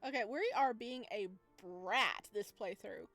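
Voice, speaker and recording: A woman's voice: medium pitch, a little slow, gravelly, a bit bright, faint music behind. A woman giving casual, chatty let's play commentary as she plays a story game. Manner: chatty, informal. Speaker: gamer playing and reacting to a visual novel.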